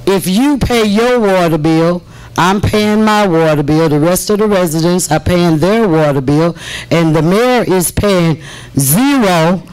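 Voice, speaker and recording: A trustee speaking out to residents at a village board meeting, deliberate and emphatic, repeating phrases for effect. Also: female voice, lowish voice, darkish tone, clear, resonant tone, poor audio. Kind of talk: impassioned public meeting speech.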